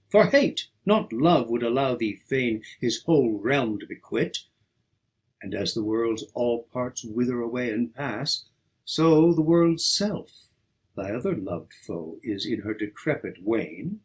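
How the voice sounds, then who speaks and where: A man reading poetry aloud as an audiobook, in a declamatory, measured and emphatic dramatic style. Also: medium pitch, husky, poor audio. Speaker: audiobook reader.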